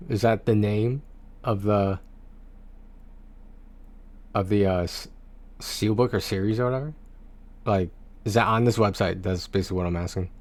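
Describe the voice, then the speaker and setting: A man's voice: lowish voice, darkish tone. A man livestreaming about video games in a casual, rambling, conversational way, speaking to his viewers on a close mic.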